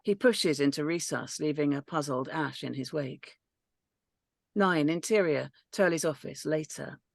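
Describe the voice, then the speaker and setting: Gravelly, dry-voiced woman narrator. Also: sits low, unhurried, no room sound. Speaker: narrator reading stage directions. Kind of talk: script read-through narration.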